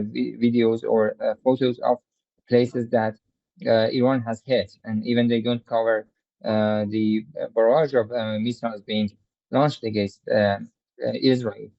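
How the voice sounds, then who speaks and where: A man's voice: medium pitch, no echo. Man on a dry, dull remote line. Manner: hesitant, stumbling. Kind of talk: news interview.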